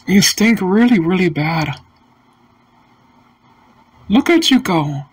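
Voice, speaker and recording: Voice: medium pitch, lively intonation, slight background noise. A synthetic voice as a defiant cartoon boy gamer. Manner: defiant, mocking, slow stiff delivery.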